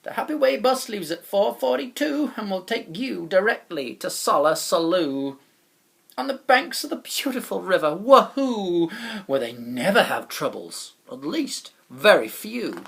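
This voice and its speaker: A man voicing a bossy, boastful, cheery chap from a children's storybook, loud, emphatic and full of confidence. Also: sits high, big pitch swings, unhurried, vocal fry, pitched above the performer's own voice, heavily acted. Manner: loud, emphatic, hearty, overconfident.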